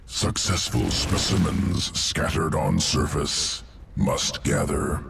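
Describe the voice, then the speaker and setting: A man's voice: a bass voice, fairly even intonation, takes it slowly, creaky, noisy background, exaggerated voice. A man voicing a cold, clinical male alien evolution master in a game cutscene, speaking in terse clipped fragments. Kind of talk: video game cutscene acting. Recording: distant game audio with loud music.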